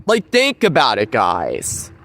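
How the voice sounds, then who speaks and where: A man's voice: medium pitch, wildly swooping pitch, takes it slowly, creaky, a bit bright, slight background noise. A man giving a rambling, informal monologue to viewers, repeating his phrases for emphasis. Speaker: vlogger.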